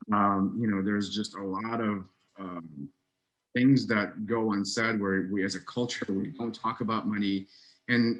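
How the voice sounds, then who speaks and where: A man in his thirties from Russia, a psychologist hosting the podcast, speaking in a measured way with many pauses. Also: on the low side, poor audio. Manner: measured, many fillers and restarts. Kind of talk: casual interview chat.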